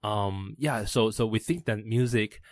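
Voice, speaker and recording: A man in his thirties from Taiwan with a Taiwanese accent, answering interview questions haltingly with many fillers and restarts. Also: lowish voice, a little mellow, no room sound. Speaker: startup founder. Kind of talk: podcast interview.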